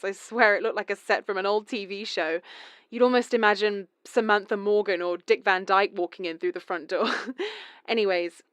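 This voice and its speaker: A mother calling in to tell her family's story, halting and confessional, as a woman plays her. Her voice sits mid-range and the sound is dry and close. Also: medium pitch, a bit bright, clear, resonant tone, no echo. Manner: confessional, hesitant, nervous laughs. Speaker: caller. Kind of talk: horror radio drama monologue.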